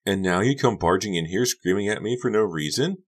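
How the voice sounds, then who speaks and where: A man's voice: fairly low pitch, lively intonation, fairly quick, pitched above the performer's own voice. A man voicing a bewildered, wounded teenage girl in an audiobook, innocent, plaintive and protesting. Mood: tearful, protesting. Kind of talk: audiobook character dialogue.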